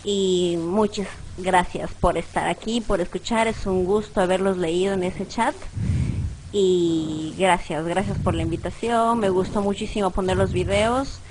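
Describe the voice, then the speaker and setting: A woman's voice: fairly low pitch, steady tone, a little slow, darkish tone, slight background noise, rough recording. A woman with a nasal voice, chatting casually as a guest on an online show and rambling through her goodbyes with laughter. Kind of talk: casual online radio chat.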